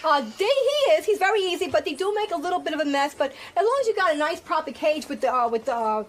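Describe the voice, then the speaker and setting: A middle-aged woman, a loud, fast-talking radio guest who is blunt and swears freely. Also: high voice, big pitch swings. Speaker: guest, internet pet show host. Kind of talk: casual radio chat.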